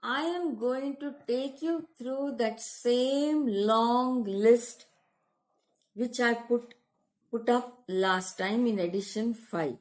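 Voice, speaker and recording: A woman with an Indian accent from India, giving a slow, deliberate English grammar lesson as a teacher. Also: on the high side. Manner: slow, deliberate teaching delivery, switching into Hindi. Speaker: language teacher.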